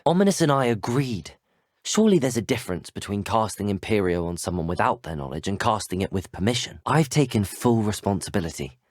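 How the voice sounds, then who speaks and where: An actor playing an earnest, brave, well-spoken teenage student wizard in a video game. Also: male voice, lowish voice, dry recording, studio quality. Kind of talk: video game dialogue clips.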